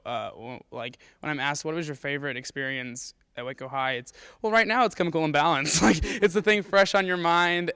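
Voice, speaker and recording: A man's voice: on the high side, pushed and bright, clean tone, no echo. An earnest young man, a student actor, giving casual interview answers. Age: young adult. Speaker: high school student actor.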